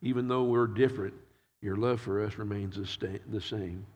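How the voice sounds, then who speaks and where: A man preaching and praying as pastor, sounding nasal from allergies, often halting and repeating words. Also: lowish voice, fairly even intonation, a little mellow. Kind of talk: prayer and sermon. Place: church. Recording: reverberant hall.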